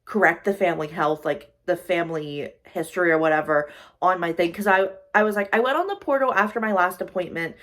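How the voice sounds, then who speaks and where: A woman's voice: on the low side, a little fast, a bit bright. Rambling chatty woman in her twenties. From United States. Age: 20s. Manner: rambling, many fillers, talks through worries openly. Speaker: social worker and vlogger. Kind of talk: casual chat.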